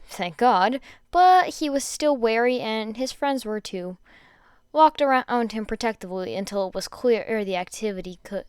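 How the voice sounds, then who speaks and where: Clear-voiced woman reading fan fiction. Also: a little high, no room sound, studio quality. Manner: stumbles over words and rereads phrases. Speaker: podfic reader. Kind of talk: amateur fan fiction narration read aloud.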